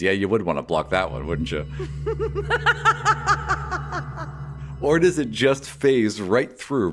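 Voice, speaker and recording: A man hosting a podcast, reading out and answering listener questions in an articulate way. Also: fairly low pitch. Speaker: podcast host and game master.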